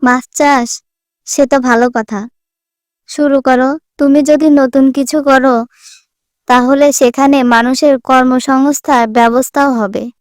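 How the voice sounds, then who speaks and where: A woman's voice: high voice, steady tone, takes it slowly, pauses often, no room sound, studio quality. A woman voicing a supportive young village daughter in a cartoon, in an encouraging manner. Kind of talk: Bengali cartoon voice acting.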